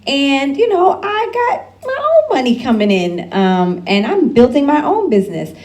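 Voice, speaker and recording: A woman's voice: sits high, sing-song, moderate pace, darkish tone. A woman speaking on an alumni panel, giving candid advice with loose asides and frequent laughs.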